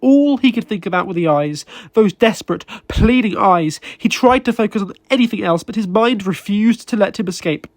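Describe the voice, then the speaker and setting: A man narrates a short story in a dramatic audiobook style. His delivery is deliberate and literary, with feeling and weighted pauses. The recording is dry, with little sense of a room around the voice. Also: high voice, lively intonation, dry recording.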